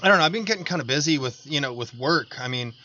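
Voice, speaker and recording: A man's voice: on the high side, animated, fast talker, bright, forceful tone. An American man co-hosting a podcast, telling personal stories in an earnest, rambling way and repeating phrases for emphasis. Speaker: podcast co-host, tradesman. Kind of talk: casual chat and personal anecdotes. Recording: dull lo-fi audio with noticeable background noise.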